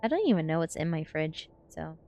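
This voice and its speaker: A woman's voice: sits low, big pitch swings, brisk, mellow, faint music behind. A woman streamer reading a book ASMR style and chatting casually with viewers in a playful way. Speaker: VTuber streamer.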